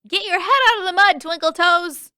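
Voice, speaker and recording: A woman voicing a brash, impatient and scornful young tomboy girl in a story reading, with a loud, taunting delivery. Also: very high voice, moderate pace, no room sound, clean studio sound, pitched above the performer's own voice, exaggerated voice. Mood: grumbling, taunting. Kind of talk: character voice in an audiobook-style fan fiction reading.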